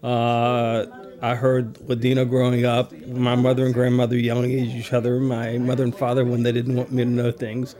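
American man, 50s, mid-pitched voice, plain self-deprecating interviewee. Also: monotone, moderate pace, mellow. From United States.

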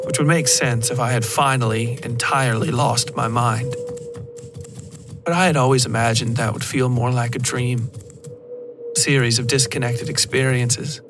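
A man reading a first-person horror story as a scripted narration, in a measured, literary storytelling manner. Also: medium pitch. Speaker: narrator of a fiction podcast.

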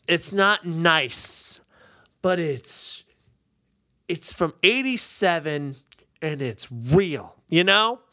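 A woman's voice: medium pitch, fairly bright tone, dry recording, clean studio sound. A woman co-hosting a podcast in casual, opinionated chat, swearing and emphatic with mock outrage. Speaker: podcast co-host.

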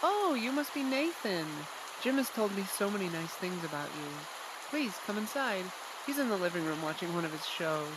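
A woman doing a sugary, overly welcoming hostess in an audio drama, soft and sweet, every line a little too kind. Loud rain pours behind her voice, and her tone stays middling in pitch. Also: big pitch swings, moderate pace, heavy background noise, close to an everyday voice. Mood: sweetly welcoming. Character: sweet overly hospitable woman at Jim's house.

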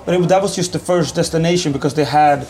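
A man's voice: fairly high pitch, fast, darkish tone. A man from Sweden with a Swedish accent, speaking casually and emphatically as an interview guest, often repeating phrases. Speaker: podcast guest, ultra runner. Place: busy café.

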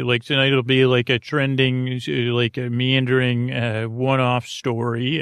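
Male bedtime podcast host, mid-pitched with heavy vocal fry, rambling, trailing off, gently unhurried. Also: no room sound, studio quality. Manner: meandering, halting, repeats and trails off.

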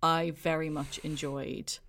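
A woman's voice: low-pitched, flat delivery, takes it slowly, a bit bright. A woman with an Irish accent co-hosting a podcast, talking casually and laughing often. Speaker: podcast co-host. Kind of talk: casual chat.

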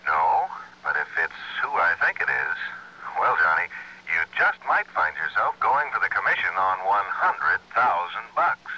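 A man's voice: low-pitched, very animated, a little slow, sharp tone, highs cut off, heavily acted. An actor playing a businesslike American insurance man on a telephone in a radio drama, with clipped, emphatic delivery. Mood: brisk, urgent. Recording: noisy band-limited vintage radio phone sound.

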